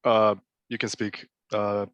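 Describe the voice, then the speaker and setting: Low-voiced man moderating, dry sound. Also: flat delivery, slow, fairly bright tone, dry recording, lo-fi sound. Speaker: panel moderator. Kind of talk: casual interview hosting. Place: online video call.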